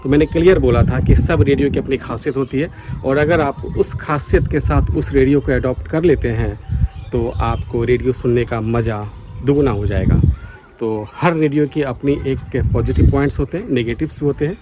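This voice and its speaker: A man's voice: medium pitch, normal speed, noisy background. An Indian man speaking casually to his viewers as a radio hobbyist vlogger, earnest and insistent in how he makes his points. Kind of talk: casual opinion vlog addressed to viewers.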